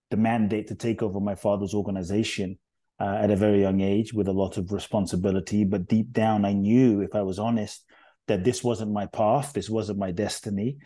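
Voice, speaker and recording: Inspirational speaker, male, middle-aged: warm dark tone, reflective storytelling that leans hard on key phrases. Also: fairly low pitch. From Ghana, raised in London. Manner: earnest, measured, builds emphasis on key phrases.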